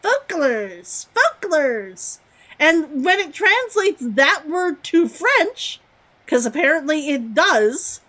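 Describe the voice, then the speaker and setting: A woman's voice: sits high, sing-song, takes it slowly, a bit bright, rough recording. A young woman streaming a game, chatty and giggly, repeating words aloud to test how they sound. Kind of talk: live game commentary.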